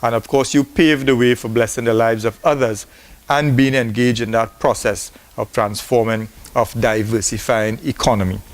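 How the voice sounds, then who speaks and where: A man's voice: medium pitch, fairly even intonation, moderate pace. A man giving a formal, earnest closing address at a university forum. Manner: earnest, exhorting.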